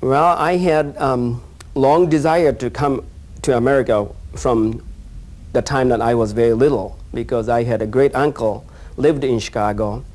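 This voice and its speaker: An older man speaks with a Japanese accent, his voice mellow and soft-edged. He answers haltingly, with pauses and fillers as he searches for words. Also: medium pitch. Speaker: interviewee, business owner.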